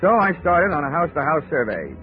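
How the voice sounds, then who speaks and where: Cocky wisecracking investigator, tinny old radio, music behind. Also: male voice, a little high, wildly swooping pitch, fast talker. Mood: narrating. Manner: wry, wisecracking narration. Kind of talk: 1940s radio acting.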